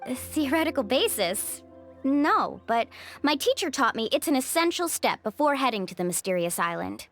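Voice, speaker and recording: A woman's voice: sits high, sing-song, moderate pace, creaky, a bit bright, faint music behind, exaggerated voice. A woman voicing a cheerful, confident young adventure guide in a video game, explaining things in an upbeat, playful way. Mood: bright, instructive. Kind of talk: animated video game character acting.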